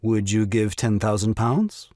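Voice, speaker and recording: A man playing an excitable, scheming nephew in a stage farce, with a theatrical, sarcastic delivery. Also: lowish voice, unhurried, no room sound, studio quality, natural voice. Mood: mock indignant. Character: excitable, scheming nephew and new father, indignant. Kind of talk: stage farce audio drama acting.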